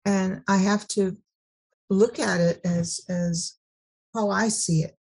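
A middle-aged woman from California sharing her personal story at a recovery meeting, halting, with many restarts and repeated words. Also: medium pitch, fairly even intonation, darkish tone, breathy edge. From Sacramento, California.

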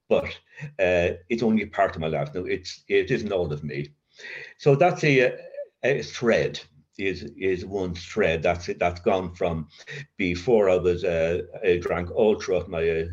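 An older man with a very low, deep voice speaks haltingly, catching on blocks and repeating words as his stutter surfaces. He is reflective, with a wry humour. The recording sounds slightly muffled and dull. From Ireland. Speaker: recovery storyteller. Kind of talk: personal testimony.

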